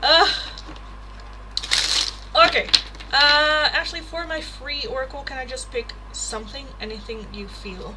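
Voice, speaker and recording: A woman's voice: high voice, steady tone, unhurried, pauses often, noisy background. A young Dutch woman with a Dutch accent, chatting casually on a livestream and reading oracle cards aloud. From Netherlands. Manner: chatty, laughs often, swears casually, reads card text aloud. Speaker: tarot reader hosting a livestream.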